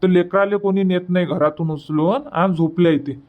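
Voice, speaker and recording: A man voicing a practical, impatient village husband in a comic cartoon, brisk and persuasive, with a Varhadi Marathi accent. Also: high-pitched, phone-quality sound, natural voice. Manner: brisk, persuasive, matter-of-fact.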